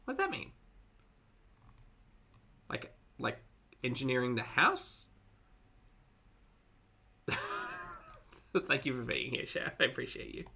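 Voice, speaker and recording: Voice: medium pitch, huge pitch swings, takes it slowly, creaky, pauses often, bright, forceful tone, a little hiss or hum. A streamer giving casual, wry live commentary to a chat, often chuckling and repeating phrases. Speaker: streamer reacting to videos and chatting with viewers.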